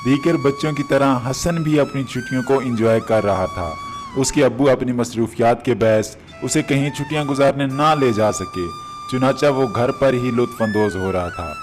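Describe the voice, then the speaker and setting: A man's voice: medium pitch, moderate pace. A man narrating a moral story for children as a storyteller. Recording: music behind the voice.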